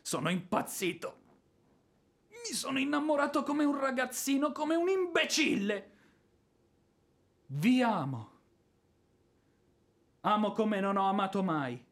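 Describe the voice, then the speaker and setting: An actor playing a hot-headed, lovestruck ex-army officer in a theatrical radio drama, bombastic and impulsive. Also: male voice, extremely high pitch, sing-song, measured pace, pauses often, fairly bright tone, full character voice. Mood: frantic, lovestruck. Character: blustering, hot-headed ex-artillery officer, helplessly smitten.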